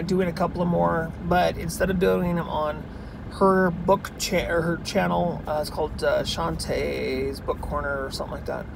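A man's voice: on the high side, creaky. A man in his thirties chatting casually on a vlog while driving, rambling with lots of ums and restarts, filmed by a dashboard camera inside his car. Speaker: vlogger. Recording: loud road and engine noise. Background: car road noise.

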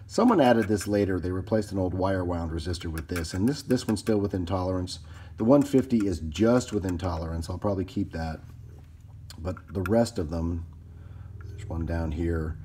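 A man's voice: low-pitched, dark tone, husky. A man giving a casual, unscripted hands-on tutorial, thinking aloud as he works at an indoor bench. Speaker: hobbyist repairer demonstrating his work. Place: indoors at a workbench.

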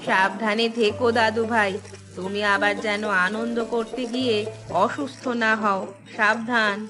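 A woman's voice: on the high side, steady tone, a little slow, music in the background, natural voice. A woman voicing an elderly grandmother in a cartoon story, worrying and scolding in a cautioning way. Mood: worried.

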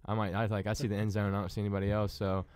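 A man's voice: lowish voice, little pitch movement, racing, darkish tone, no room sound. A young man in his twenties, a college running back, telling his story in a laid-back way on a radio show. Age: 20s. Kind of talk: casual radio interview. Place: radio studio.

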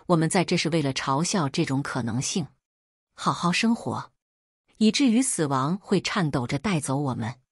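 A woman's voice: low-pitched, lively intonation, moderate pace, slightly rough, no echo, studio quality. A woman reading solemn, inspirational scripted documentary narration.

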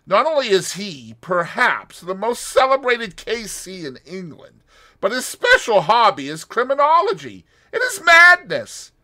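A man voicing a calm, scornful scheming society woman who speaks slowly and in a measured way. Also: high-pitched, voice pushed up, heavily acted.

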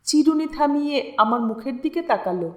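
A woman narrating a literary story in an expressive, deliberate, dramatic way with lingering pauses. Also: sits high. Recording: roomy, echoing sound.

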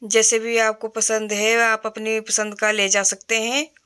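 Woman, middle-aged, flat even delivery, casual shopping vlog, phone recording in a store. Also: on the high side, smooth. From Himachal Pradesh, India. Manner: slow, plain chatty narration with fillers. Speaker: vlogger. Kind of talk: casual shop walkthrough vlog. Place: indoor retail store.

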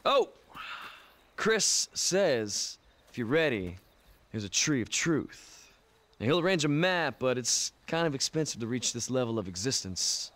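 An actor playing a vain, laid-back celebrity cult devotee in a video game, breezy and self-satisfied bro talk. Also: male voice, medium pitch, big pitch swings, a little slow, a bit bright. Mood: breezy, gleeful. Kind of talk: video game cutscene acting.

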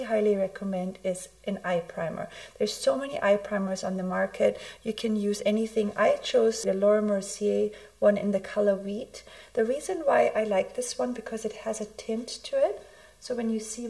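Hoarse, gravelly woman losing her voice. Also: medium pitch, warm tone, poor audio. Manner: chatty, friendly, explains as she works. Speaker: makeup artist. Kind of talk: makeup tutorial.

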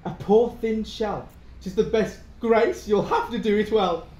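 A man's voice: sits high, unhurried, a little mellow, lo-fi sound. A young man playing a gleefully vengeful brother in a Jacobean audio drama, with theatrical, gloating delivery. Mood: mock courtly. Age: young adult. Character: gleefully vengeful brother, mocking, brandishing a poisoned skull. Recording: roomy, echoing home recording.

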